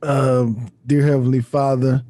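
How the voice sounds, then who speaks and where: A man's voice: medium pitch, flat delivery, unhurried, warm tone. A man hosting a podcast in casual chat, very informal and slangy, swearing freely. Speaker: podcast host.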